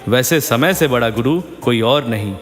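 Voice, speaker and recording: Man acting sensible weary husband, medium-pitched voice, earnest, lecturing, reproachful, cartoon moral story, music behind. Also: lively intonation, unhurried, lightly acted. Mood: stern, earnest.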